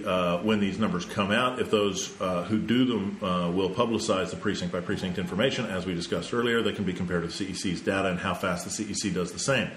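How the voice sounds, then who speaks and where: A man with a low voice reads through news items in a relaxed way. He breaks off now and then to joke about them. The room sounds echoey, with a faint hum behind him. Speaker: news podcast host. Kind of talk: casual news roundup with banter.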